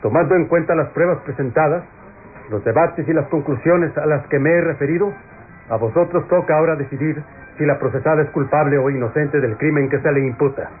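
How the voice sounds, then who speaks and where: A solemn judge played by a male actor delivers formal, measured courtroom oratory in an old radio drama. His voice is rather high for a man, the sound is muffled and narrow, and faint music runs behind. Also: lightly acted. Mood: grave, formal. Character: solemn formal presiding judge addressing a jury.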